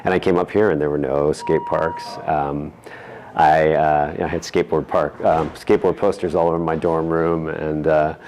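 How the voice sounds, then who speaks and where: A man reminiscing casually in an interview, with halting, hesitant phrasing. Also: a bass voice, level tone, a little mellow, slight background noise. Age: 40s. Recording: music bed under the voice. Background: instrumental music.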